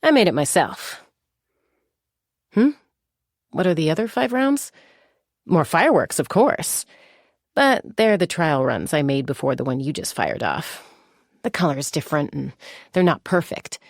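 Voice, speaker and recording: Casting: young female game gunslinger, lowish husky voice, self-assured, wryly playful, dry close studio sound over faint music. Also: animated, measured pace, long pauses, no room sound, heavily acted. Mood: calm, wry. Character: confident young woman gunslinger peacemaker, composed, wryly playful. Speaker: video game voice actor. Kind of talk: scripted game character voice lines.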